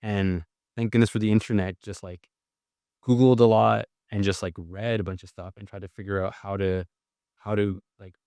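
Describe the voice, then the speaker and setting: A man with a fairly low voice talks through his answers as a podcast guest, reflective and loose, circling back with fillers. The sound is dry and close, with no room around it. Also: steady tone, normal speed, dark tone, smooth, no room sound. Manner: thoughtful, with many filler "like"s and restarts. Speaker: guest, writer and journalist. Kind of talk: conversational interview answers.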